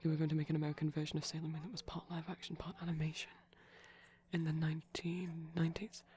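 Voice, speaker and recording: A man speaking softly in a chatty, rambling ASMR style, often dropping to a whisper, digressive and tentative. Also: on the high side, little pitch movement, fast, husky. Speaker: ASMR performer. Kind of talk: soft-spoken rambling ASMR commentary on a film. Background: TV film audio playing in background.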